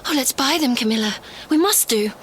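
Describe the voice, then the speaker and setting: An actress playing a gentle, impressionable well-bred young lady in a gothic audio drama, polite and earnest. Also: sits high, expressive, a little fast, a crowd in the background. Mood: eager, polite.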